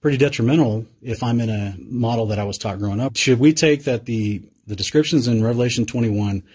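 A man's voice: mid-range voice, brisk, a little mellow, slightly rough. A man interviewing a guest in casual conversation, thinking aloud and searching for words with many fillers.

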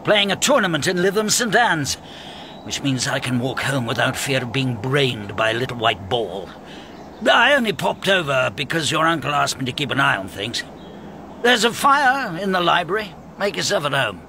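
A sly, genial magistrate in a radio play. His creaky, bright voice chats along knowingly with lively pitch. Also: moderate pace, a little hiss or hum. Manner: chuckling, knowing, conversational. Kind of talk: radio drama acting.

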